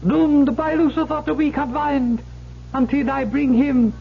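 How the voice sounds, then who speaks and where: A bottle imp, bound servant of the devil, speaking in a very high, drawn-out voice. The voice drags each word slowly. It comes through a muffled old radio recording with faint hiss. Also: male voice, steady tone, vocal fry, full character voice. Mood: eerie servile. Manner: slow, high, unearthly servility. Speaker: actor in radio drama. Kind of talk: 1970s radio drama acting.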